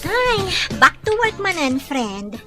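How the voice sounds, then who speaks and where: Bright high-pitched Ilocano young woman played by an actress. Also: sing-song, takes it slowly, soft background music, heavily acted. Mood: protesting. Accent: Ilocano Filipino. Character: young female hospital worker, bashful, warm-hearted, quick to protest. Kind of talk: Ilocano radio-style drama acting.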